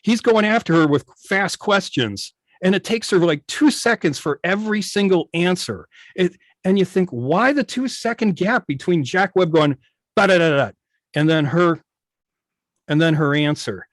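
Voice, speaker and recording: Male guest, fairly high voice, casual and analytical explainer, close dry sound on a video meeting. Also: animated, normal speed, no room sound. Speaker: guest, old-time radio station operator.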